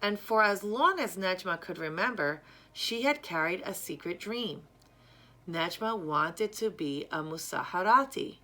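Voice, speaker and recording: Bright-voiced woman reading a children's story. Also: on the low side, expressive, a little slow. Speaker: storyteller reading a picture book. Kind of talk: read-aloud children's story narration.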